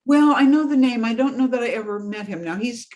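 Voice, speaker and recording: A woman's voice: fairly high pitch, a little fast. A woman vocalist with a light voice, chatting enthusiastically as a guest over a video call. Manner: animated, enthusiastic, laughs often. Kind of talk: casual interview chat.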